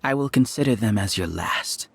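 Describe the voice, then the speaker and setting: An actor playing an arrogant scholarly villain who rules a hive mind in a video game, speaking with grandiose, contemptuous, measured menace. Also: male voice, lowish voice, animated, creaky, breathy edge, no echo, heavily acted. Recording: background music under dialogue.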